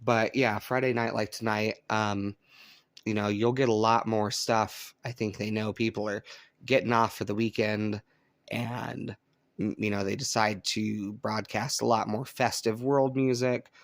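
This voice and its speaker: A man from Colorado hosting a relaxed show-and-tell about his radio gear, easygoing and chatty with frequent ums, speaking indoors at home. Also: on the low side, a little slow, no echo. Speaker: radio hobbyist channel host. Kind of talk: casual show-and-tell demonstration.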